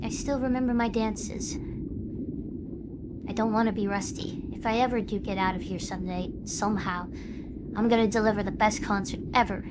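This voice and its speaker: A woman acting an eager, excitable young shark-girl idol, easily confused, in an animated fan drama. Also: mid-range voice, normal speed, background noise, close to an everyday voice. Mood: wistful, determined.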